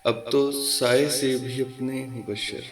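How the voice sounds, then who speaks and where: A man reciting his own ghazals as a poet, in a declamatory, deliberate manner, repeating lines with pauses. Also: medium pitch, steady tone, a bit bright, distant mic. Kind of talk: slow Urdu poetry recitation. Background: music.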